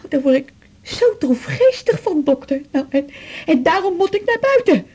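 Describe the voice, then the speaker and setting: A woman's voice: extremely high pitch, very animated, normal speed, darkish tone. An actress playing a confused, depressed woman patient who rambles hesitantly and pleads, in a radio drama. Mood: agitated, gloomy. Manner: rambling, hesitant, jumping between thoughts.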